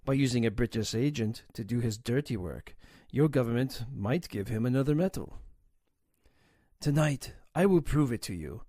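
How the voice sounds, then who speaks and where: A man's voice: medium pitch, expressive, normal speed, dark tone, no room sound, clean studio sound, close to an everyday voice. A man playing a boastful, hearty older Greek smuggler boss in an audio drama. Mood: resentful, determined. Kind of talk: audio drama character acting.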